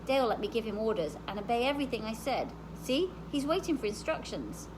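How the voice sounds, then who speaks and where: A woman's voice: fairly high pitch, very animated, brisk, noisy background. A woman reading the part of an eager, gushing young boy in a storybook. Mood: gushing, excited. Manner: exclaiming, gushing.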